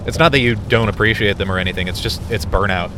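A man's voice: fairly low pitch, fast. A man who plays in a band, talking in a reflective, casual way and swearing now and then, riding in a car. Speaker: musician in a band. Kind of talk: casual conversation. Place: inside a car. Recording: car road noise.

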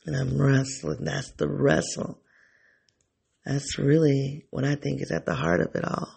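A man's voice: mid-range voice, flat delivery, measured pace, creaky, a little mellow, husky. A man thinking aloud in a reflective solo monologue, often rephrasing himself and talking straight to his listeners. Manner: talks directly to listeners, informal.

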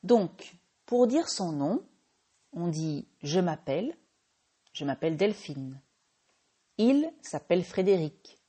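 A French woman who teaches French, hosting a slow, clear language-lesson podcast and patiently correcting her own small slips. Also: on the low side, mellow. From France.

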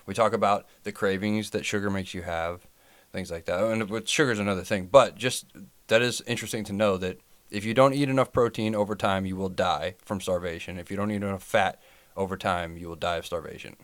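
A man with a very deep voice talks through health facts in a steady, explanatory way. He sounds close to the mic, with a dry sound and no echo. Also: a little fast. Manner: explanatory, matter-of-fact. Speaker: podcast co-host, health professional. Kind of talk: casual chat.

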